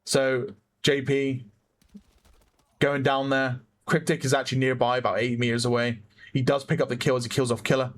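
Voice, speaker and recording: A man with a hoarse voice giving chatty play-by-play commentary on a competitive online shooter match, often correcting himself. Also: medium pitch, level tone, moderate pace. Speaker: esports caster. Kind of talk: live game commentary.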